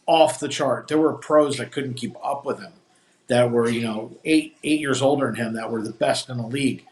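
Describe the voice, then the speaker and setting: A man from New Jersey hosts a live stream and rambles through opinionated sports talk in a mid-pitched voice. The sound is roomy, with echo hanging around every phrase. He talks loosely and casually, piling one point onto the next. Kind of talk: casual chat. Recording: echoey room.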